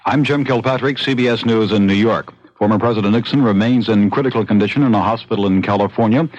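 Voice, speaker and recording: An American man delivers a radio news bulletin. He reads quickly in a low voice with a formal reporter's phrasing. The archival broadcast sounds dull. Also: a little mellow.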